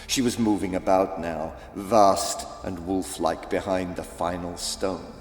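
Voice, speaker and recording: Deep-toned theatrical male storyteller in echo. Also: fairly even intonation. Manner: theatrical, deliberate. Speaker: audiobook narrator. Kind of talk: dramatic scripted storytelling for children. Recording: echoey reverb with faint music.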